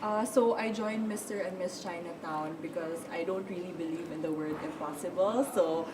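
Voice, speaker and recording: A young woman in her twenties with a Filipino accent, a pageant winner giving earnest, slightly halting interview answers. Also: fairly low pitch, fairly even intonation. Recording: distant mic with noise.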